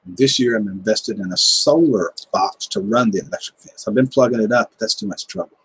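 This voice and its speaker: A low, husky-voiced man in his fifties with a Southern American drawl, talking with viewers on a livestream. He is laid-back and joking, with folksy country phrasing. The sound is dry and close. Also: a little fast, a bit bright, no room sound, poor audio. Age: 50s. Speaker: livestream host, gardening channel. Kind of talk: casual chat reading viewer comments.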